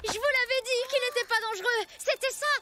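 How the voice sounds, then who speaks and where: Very high-pitched, plucky cartoon child. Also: female voice, fast talker. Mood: excited, reassuring. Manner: impassioned, emphatic. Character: plucky, stubborn young child devoted to a dinosaur friend. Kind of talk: French animated cartoon dubbing. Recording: loud music behind the voice.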